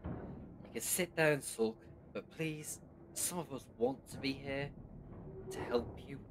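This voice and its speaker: A man's voice: medium pitch, slow, lightly acted. A man playing a sarcastic but loyal young companion in an audio drama, with a casual manner. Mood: earnest. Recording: clear background noise.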